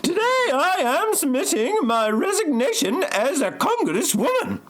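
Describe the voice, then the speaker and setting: An actor's high-pitched, swooping congresswoman. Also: very animated, measured pace. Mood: remorseful. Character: high-voiced congresswoman resigning in disgrace. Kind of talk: amateur parody audio play.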